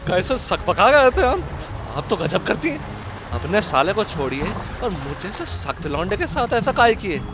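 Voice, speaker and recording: A man's voice: high voice, very animated, normal speed, noisy background. A man playing a crude, lecherous, whiny comic sidekick with earthy slangy banter in a horror-comedy audio drama. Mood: cheeky.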